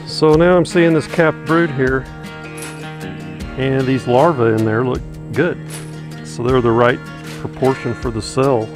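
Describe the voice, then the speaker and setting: A man's voice: mid-range voice, steady tone, measured pace, pauses often. A man, a beekeeper talking casually and folksily to the camera while he works outdoors. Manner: easygoing, folksy, thinking aloud with fillers. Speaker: beekeeper vlogger. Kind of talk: casual narration while working. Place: outdoors in a wooded bee yard. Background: music and buzzing bees.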